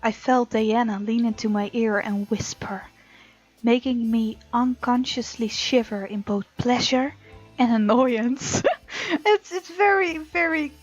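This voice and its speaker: A woman's voice: on the high side, unhurried, lo-fi sound. A woman hosting a let's play, reading game text aloud and reacting casually and expressively. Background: faint game music.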